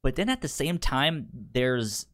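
A man with a mid-pitched voice chats casually as a podcast co-host about film. He speaks haltingly, restarting and repeating words and syllables while he gets to his point. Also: expressive, a bit bright.

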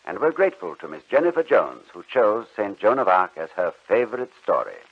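A man's voice: on the low side, very animated, a little fast, breathy edge. A man working as a radio announcer, reading a script in a polished, formal old-time broadcast style. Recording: dull old radio recording.

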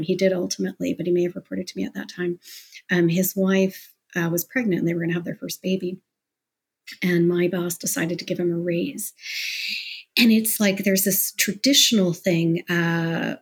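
A woman speaking as an interview guest, talking candidly and discursively about her working life in a conversational, animated way. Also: on the low side, creaky, husky. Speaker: interview guest, business professional.

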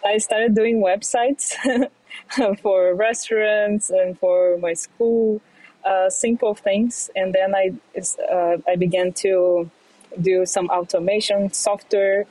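A woman's voice: medium pitch, fairly even intonation, poor audio. A woman from Brazil in her thirties, answering interview questions in English with frequent fillers and restarts. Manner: halting, many fillers and restarts, soft laughs. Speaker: guest, fintech entrepreneur.

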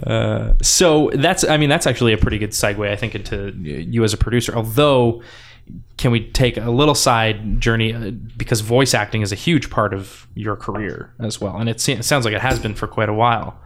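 Relaxed male podcast host. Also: fairly low pitch, fairly quick. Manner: relaxed, laughs easily, gentle questions. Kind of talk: casual podcast interview.

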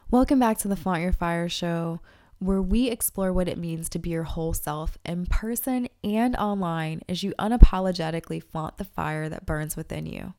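A woman's voice: lowish voice, normal speed, warm tone. A woman hosting a podcast, congested from allergies, giving upbeat, direct advice. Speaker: podcast host. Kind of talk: casual podcast talk and read ads.

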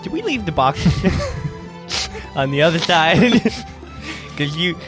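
A man playing an improvised tabletop game, bantering casually in an animated, jokey way. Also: a little high, slow pace. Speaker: player in an improvised audio drama. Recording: music behind the voice. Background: music.

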